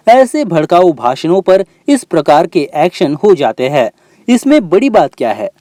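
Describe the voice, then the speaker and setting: Male news reporter, fairly high voice, very animated intonation, scripted narration. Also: dry recording, clean studio sound.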